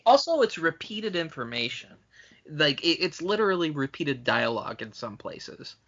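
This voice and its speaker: A man's voice: a little high, big pitch swings, moderate pace, fairly bright tone, highs cut off. A man co-hosting a podcast, discussing film casually in a blunt, profane, opinionated way. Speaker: podcast co-host.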